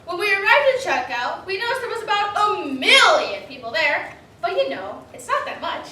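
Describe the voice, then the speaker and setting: A young woman performing a comic monologue for reader's theater in an animated, dramatic way, recorded from a distance in an echoey room. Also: extremely high pitch, moderate pace. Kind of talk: staged personal comic monologue. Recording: echoey room, distant mic, faint noise.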